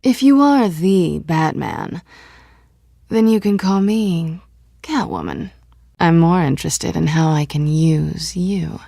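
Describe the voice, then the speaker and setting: Sly teasing thief, mellow low register, creaky, coy delivery, video game drama. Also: female voice, expressive, takes it slowly, dry recording, clean studio sound, exaggerated voice. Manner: coy, taunting. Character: sly, teasing female masked cat burglar. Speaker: voice actor.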